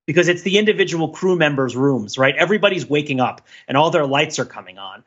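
A man's voice: fairly high pitch, lively intonation, fast talker, a bit bright, slightly rough, dull audio. A man co-hosting a podcast, talking through ideas in a casual, discursive, intellectual way. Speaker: podcast co-host. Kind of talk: casual analytical discussion of a TV show.

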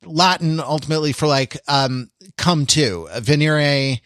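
A man in his forties co-hosting a podcast in casual analytical discussion, speaking in a halting, discursive way and often interrupting himself. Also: fairly high pitch, fairly bright tone, clean tone, no room sound, studio quality. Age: 40s. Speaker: podcast co-host.

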